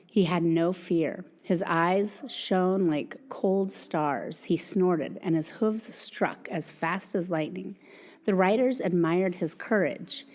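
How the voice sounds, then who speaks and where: A woman reading a picture book aloud in a measured, careful way. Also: lowish voice, a little mellow.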